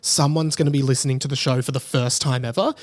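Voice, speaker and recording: A man's voice: medium pitch, steady tone, quick pace. An Australian man hosting a solo podcast in a casual, rambling way, often interrupting himself and drifting into tangents. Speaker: podcast host.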